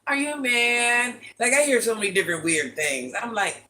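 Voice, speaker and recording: An older woman with a very deep voice, hosting a casual livestream, reading viewer comments and playfully trash-talking. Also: big pitch swings, moderate pace, sharp tone. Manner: playful trash talk, sassy asides. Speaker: livestream host.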